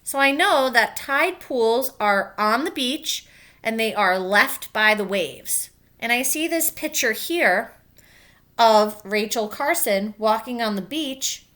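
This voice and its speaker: A woman teacher reading a children's book aloud and pausing to explain it in simple words for young pupils. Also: a little high, expressive, sharp tone.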